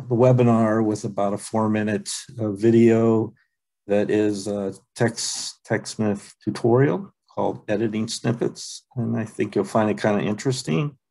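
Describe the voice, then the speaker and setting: A man presenting a software training webinar over a video call, relaxed and halting, with many ums and uhs. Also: on the low side, creaky. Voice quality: plain, unexciting voice. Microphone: computer mic on a video call.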